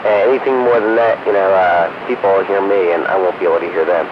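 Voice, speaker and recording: Low-voiced man on muffled radio. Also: steady tone, quick pace. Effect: two-way radio transmission. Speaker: radio operator in a group chat. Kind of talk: casual radio chat. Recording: muffled, noisy two-way radio.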